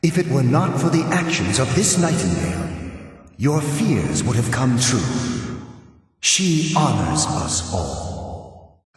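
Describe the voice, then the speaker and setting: An actor playing a solemn, remorseful male ghost in a video game, speaking gravely and formally with a ghostly reverberation. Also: medium pitch, very animated, slow pace, pauses often. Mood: weary, grave. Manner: grave, formal, wistful delivery. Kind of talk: scripted video game dialogue. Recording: cavernous long reverb, distant.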